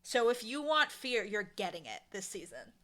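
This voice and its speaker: A woman's voice: mid-range voice, very animated, normal speed, bright, forceful tone. A woman chatting casually as an interview guest, talking in an enthusiastic, emphatic way. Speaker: interview guest, audio drama showrunner and dialogue editor.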